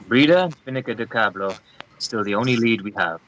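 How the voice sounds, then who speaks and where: A man's voice: mid-range voice, lively intonation, a little fast, fairly bright tone, lightly acted, rough recording. An actor playing a grim male investigator in a screenplay read, giving grave, faintly menacing lines. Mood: grave, warning.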